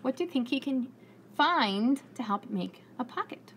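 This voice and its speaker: A woman in her thirties reading aloud as a preschool teacher in a classroom, encouraging and deliberate, asking questions. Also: sits high, animated, a bit bright. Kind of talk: children's read-aloud with questions. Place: indoors, school classroom. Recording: faint room noise.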